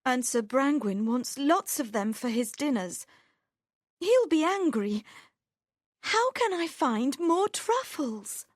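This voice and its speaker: A man voicing a frightened twelve-year-old village girl in a high pleading falsetto, as an audiobook reading. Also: sing-song, takes it slowly, no echo, clean studio sound, exaggerated voice. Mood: sad, worried.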